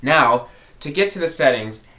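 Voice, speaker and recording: A man's voice: mid-range voice, lively intonation, moderate pace, bright, forceful tone. A man talking informally as he hosts an instructional music tutorial.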